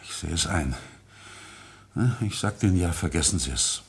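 A man playing an agitated, haunted secretary in an old German radio crime play, speaking in a halting, evasive way. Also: low-pitched. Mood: uneasy, confessing. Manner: halting, evasive, breaking off and repeating himself. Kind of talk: German radio crime play acting from the mid-20th century.

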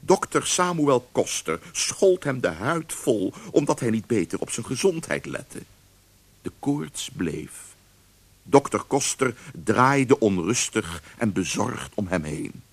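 A man narrating a radio drama as a storyteller, measured and expressive in a scripted literary style. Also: medium pitch, gravelly, husky, no echo.

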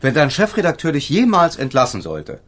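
Animated, proud young lawyer. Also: male voice, fairly high pitch, a little fast, exaggerated voice. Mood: wry, defensive. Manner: articulate, precise, pointed. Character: proud younger male lawyer, reserved and wry. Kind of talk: German radio crime drama acting.

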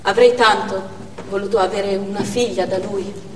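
An actress playing a grieving young Chechen war widow in a stage monologue, speaking in stark, halting short phrases. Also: female voice, mid-range voice, fairly even intonation, far from the mic, natural voice. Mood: numb grief. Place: theatre stage, distant microphone. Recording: echoey theatre hall from afar, noisy.

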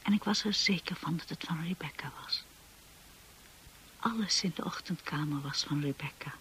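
A woman playing a timid, anxious young wife in a radio drama, hushed and hesitant, apologetic and eager to please. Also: low voice, normal speed, whispered, low-quality recording. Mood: brooding, hushed. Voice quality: breathy, hushed.

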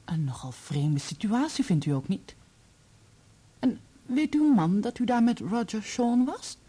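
A candid, composed divorcee in a radio play. Her voice is warm and dark, with a breathy huskiness. She speaks deliberately and asks her questions in a measured, probing way. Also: fairly high pitch, very animated, full character voice. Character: composed, candid divorced woman, late lover of a knight. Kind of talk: Dutch radio play acting.

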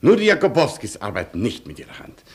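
An actor in a radio play playing a proud, pompous colonel with an indignant manner. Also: male voice, mid-range voice, wildly swooping pitch, fast.

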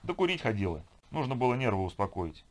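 A man's voice: medium pitch, very animated, quick pace, pitched above the performer's own voice, close to an everyday voice. A man voicing a brash, irritated glamorous young woman who complains with scornful emphasis.

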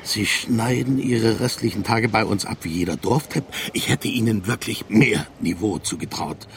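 An actor in a radio drama playing a stern, patronizing East German political officer with a gravelly, officious delivery. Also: male voice, fairly low pitch, normal speed, slight background noise. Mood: scolding.

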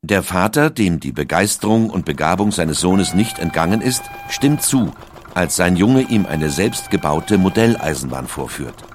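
A man narrating a documentary in a calm, measured storytelling manner. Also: low-pitched, slight background noise.

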